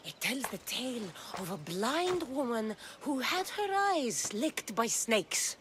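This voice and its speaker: A woman's voice: a little high, big pitch swings, takes it slowly, background noise. An actress playing a determined young Greek warrior woman in a video game, blunt, impatient and dryly wry. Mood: wry, skeptical. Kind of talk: video game character dialogue. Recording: music under the dialogue.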